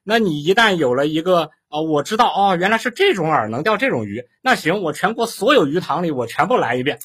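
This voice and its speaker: A man in a casual livestream discussion, thinking aloud in a halting way and checking in as he asks and explains. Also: fairly high pitch, sing-song, fairly bright tone, breathy edge.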